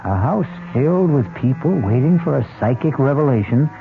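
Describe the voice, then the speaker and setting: A man with a gravelly voice hosting an old radio mystery with measured, ominous scripted narration. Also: mid-range voice, animated. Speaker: host and narrator. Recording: muffled old radio broadcast, music behind.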